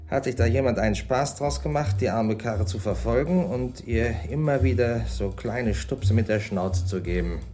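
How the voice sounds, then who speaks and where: Lively, talkative garage man. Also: medium pitch. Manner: demonstrative, colloquial, insistent. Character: talkative garage man pointing out damage on a wreck. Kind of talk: 1980s German radio crime drama dialogue.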